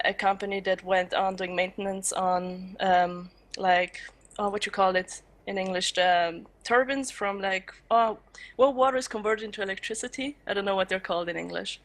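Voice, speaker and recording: Giggly hesitant woman in her twenties. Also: medium pitch. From Switzerland. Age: 20s. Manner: giggly, hesitant, searching for words. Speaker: student and streaming guest. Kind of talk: casual live-stream Q&A.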